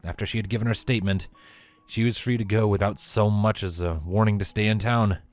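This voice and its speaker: Low-voiced man narrating a story. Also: steady tone, brisk, darkish tone. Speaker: narrator of fan fiction.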